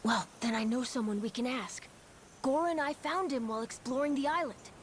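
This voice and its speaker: A woman's voice: high voice, fairly quick, a little hiss or hum, lightly acted. A woman voicing an eager, curious young kid of a crew in a video game, earnest and informal. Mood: eager, scheming. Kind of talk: video game cutscene acting.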